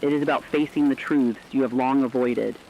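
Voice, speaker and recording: Casting: man playing serious radio reporter, medium pitch, deliberate doom-laden horror news read, slightly muffled lo-fi sound. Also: steady tone, creaky, a little mellow, a little hiss or hum, lightly acted. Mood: stern, foreboding. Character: stern radio reporter warning listeners of doom.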